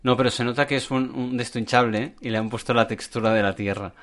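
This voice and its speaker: A man's voice: mid-range voice, steady tone, fast talker, a bit bright. A man around forty from Spain, co-hosting a casual podcast chat, joking and swearing with frequent stumbling restarts. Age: about 40. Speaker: podcast co-host.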